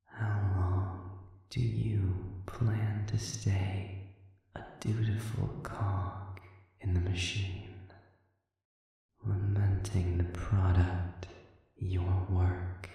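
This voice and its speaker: In a cavernous, heavily reverberant space, a sadistic demon prisoner played by a man speaks from a distance. His voice is a soft, dark murmur, extremely unhurried. He is calm and manipulative, taunting silkily with deliberate pauses, in the manner of an audio drama. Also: low voice, vocal fry, full character voice. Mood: cool, accusing. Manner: measured, deliberate, insinuating, with rhetorical questions and pauses. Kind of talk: scripted audio roleplay monologue. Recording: distant, reverberant, echoing chamber sound.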